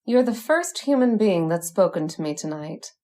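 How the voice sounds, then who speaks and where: A woman's voice: on the low side, animated, moderate pace, a little mellow, natural voice. Seductive, commanding witch, played by an actress. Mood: coy, teasing. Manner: coaxing, imperious, teasing. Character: seductive, commanding immortal witch bride, eerily coy. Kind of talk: old-time radio horror acting.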